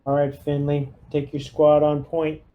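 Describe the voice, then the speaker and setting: A man's voice: a little high, monotone, measured pace, narrow, tinny audio, slight background noise. A man playing a tabletop roleplaying game, giving orders in an easygoing, casual chat style.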